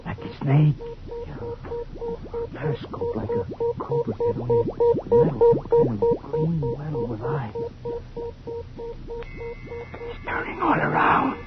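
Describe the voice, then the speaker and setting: A jittery lookout in an old radio thriller. His high voice swings wildly in excitable urgency, over loud music on a dull recording. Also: slow, pauses often, exaggerated voice. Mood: uneasy wonder. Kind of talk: old-time radio drama acting.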